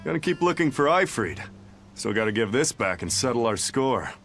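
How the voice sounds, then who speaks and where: An actor playing a brash male spirit and brawler in a game, talking in a rough, swaggering way. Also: mid-range voice, sing-song, moderate pace, fairly bright tone, faint music behind.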